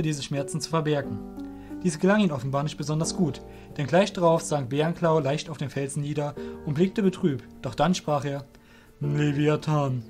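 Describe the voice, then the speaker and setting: A man's voice: on the high side, a little mellow. A man giving scripted narration for a fantasy audio drama.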